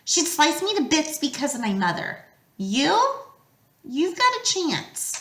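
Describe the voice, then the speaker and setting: A woman's voice: high-pitched, sing-song, measured pace, bright, forceful tone, pitched above the performer's own voice, full character voice. A woman voicing a clever, bossy teenage girl who speaks urgently and precisely, in an echoey room. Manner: urgent and commanding, precise words. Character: sharp, bossy teenage girl, strategic and brave.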